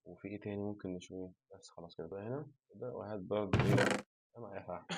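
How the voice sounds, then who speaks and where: A man with an Egyptian Arabic accent giving let's-play commentary to his viewers. He is tired and has a sore throat, and he sounds chatty, excitable and exasperated. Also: on the low side, fast talker, heavy background noise. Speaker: gaming channel host.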